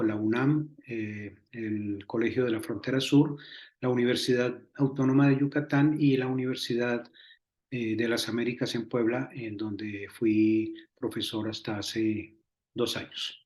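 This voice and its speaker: Older man talking slow and hesitant, roomy echo around him. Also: medium pitch, steady tone, a little mellow. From Colombia. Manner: measured, many hesitations. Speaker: entomologist guest. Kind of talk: interview answers.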